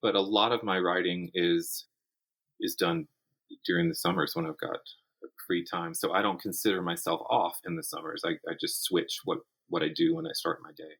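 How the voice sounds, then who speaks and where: A man's voice: low-pitched, steady tone, moderate pace, fairly bright tone, breathy edge, poor audio. A middle-aged man speaking as an interview guest, a writer talking thoughtfully and conversationally, often restarting his phrases. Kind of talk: conversational interview about writing craft.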